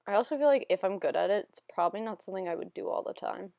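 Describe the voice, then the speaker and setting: A young woman narrating a makeup tutorial in a casual, self-deprecating, rambling voiceover, her voice still a little hoarse from being sick. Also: medium pitch, animated, rapid-fire, dark tone, no echo, clean studio sound. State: slightly hoarse, recovering from illness. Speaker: beauty vlogger.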